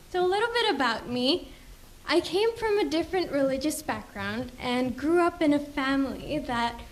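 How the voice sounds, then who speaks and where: A young woman from Indonesia, sincerely telling her personal faith story to a church congregation. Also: high-pitched. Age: young adult. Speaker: church member giving testimony. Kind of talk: personal testimony speech. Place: church service.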